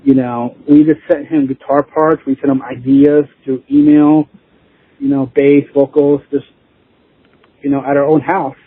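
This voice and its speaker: A man's voice: mid-range voice, little pitch movement, a little slow, slightly rough. A man being interviewed as a band drummer, chatting casually in a rambling way with lots of "you know," over a lo-fi call. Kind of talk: casual chat. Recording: lo-fi phone-like line.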